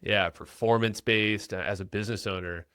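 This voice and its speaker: A man's voice: lowish voice, fairly even intonation, a little fast, bright, forceful tone, clear, resonant tone, no room sound, studio quality. A man hosting a podcast interview, upbeat and chatty, often repeating words as he starts sentences. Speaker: podcast host. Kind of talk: casual podcast interview with ad read.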